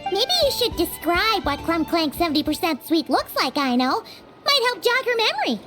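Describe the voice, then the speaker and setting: A woman voicing a tiny floating female companion in a video game, blunt and teasing, quick to take charge, with game music behind. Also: extremely high pitch, sing-song.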